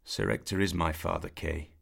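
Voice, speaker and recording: A man's voice: deep voice, level tone, moderate pace, vocal fry, fairly bright tone, exaggerated voice. Arthur: a man doing a sulky young squire. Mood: curt. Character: young boy squire, resentful, stubborn.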